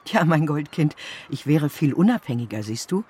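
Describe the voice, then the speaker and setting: An actress playing a warm-hearted, simple housekeeper who speaks affectionately in a German radio drama. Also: female voice, deep voice, sing-song, normal speed, breathy edge, full character voice. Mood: fond, earnest. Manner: affectionate, fond endearments.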